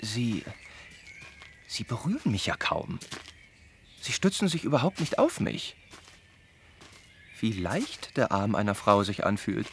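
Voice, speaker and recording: An actor playing an earnest, courteous young gentleman bound for the church, speaking formally in a radio drama. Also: fairly low pitch, sing-song, a little slow, pauses often, a little hiss or hum, heavily acted. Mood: gallant. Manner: formal, courteous period speech.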